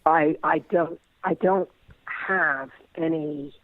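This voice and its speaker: Creaky, thoughtful man being interviewed. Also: fairly high pitch, fairly even intonation, no echo, phone-quality sound. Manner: halting, thoughtful, bookish. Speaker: interview guest. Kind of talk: reflective conversational interview.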